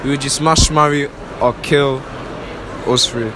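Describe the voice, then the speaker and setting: A man's voice: medium pitch, fairly even intonation, a little slow. A man with a London accent co-hosting a casual street interview, full of slang and repeated phrases. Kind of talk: casual banter, vox pop. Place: outdoors on a city street. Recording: noisy street with crowd.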